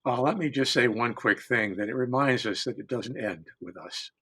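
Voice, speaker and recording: Man, husky mid-pitched voice, emphatic and deliberate oral storyteller in a panel setting. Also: a bit bright.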